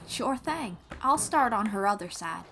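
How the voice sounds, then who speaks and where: A woman's voice: medium pitch, expressive, a little slow, background noise. A woman playing a kind, patient young bard in an audio roleplay, teaching the listener in an encouraging, folksy way. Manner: encouraging, gently instructive, folksy.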